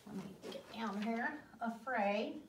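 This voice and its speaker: A woman teacher leading a classroom reading lesson, speaking deliberately and didactically, pausing, repeating and putting questions to pupils. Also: fairly high pitch, a little hiss or hum. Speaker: elementary school teacher. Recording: roomy echo, distant mic.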